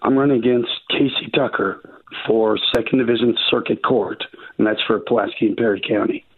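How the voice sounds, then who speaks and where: A man with a raspy voice from a recent illness, calling in as a judicial candidate and talking in a halting way with many restarts. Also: on the low side, fairly even intonation. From Arkansas. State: raspy voice after covid. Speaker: judicial candidate, phone guest. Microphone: phone. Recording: tinny phone line.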